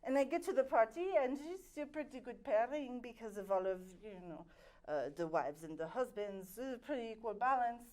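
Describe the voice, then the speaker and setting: Woman as a vain French-accented aristocrat, theatrical, halting, fairly high voice. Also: lively intonation, darkish tone, natural voice, poor audio. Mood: dramatic. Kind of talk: improvised comedy scene.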